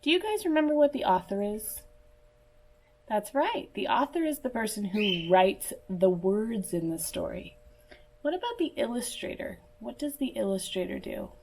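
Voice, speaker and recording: A woman reading a picture book aloud to young children in a deliberate, teacherly manner, as if speaking directly to her listeners. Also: on the high side, sing-song, a little mellow, rough recording. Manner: asks listeners questions and pauses for answers. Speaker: children's story reader.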